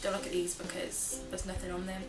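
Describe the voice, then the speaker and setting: A woman's voice: fairly low pitch, monotone, brisk, far from the mic. A young woman from North Wales chatting in a bubbly, self-deprecating way to camera, now and then dropping to a whisper. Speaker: vlogger. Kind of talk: casual chatty vlog. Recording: roomy and off-mic with music behind.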